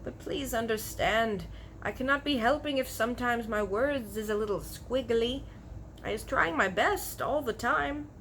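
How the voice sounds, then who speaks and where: A woman voicing a very old, blustery but earnest male giant with mangled grammar and drawn-out, emphatic words. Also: fairly high pitch, a bit bright, slight background noise, pitched above the performer's own voice. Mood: forlorn.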